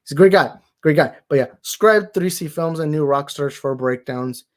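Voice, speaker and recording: A young man hosting a movie talk livestream, tired and slightly raspy, rambling and thanking his viewers. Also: on the high side, normal speed. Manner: rambling, grateful, repeats himself. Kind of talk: casual livestream sign-off chat.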